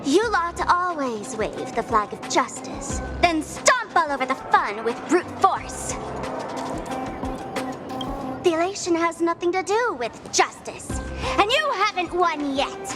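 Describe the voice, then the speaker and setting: A mocking young villain who streams for an audience, her voice very high and swooping all over as she teases. She draws out her words at a slow, savoring pace, and game music plays clearly behind her. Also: big pitch swings, full character voice. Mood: scornful. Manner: teasing, theatrical, mocking. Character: playful, taunting young female streamer villain. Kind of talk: video game voice acting. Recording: game audio with music underneath.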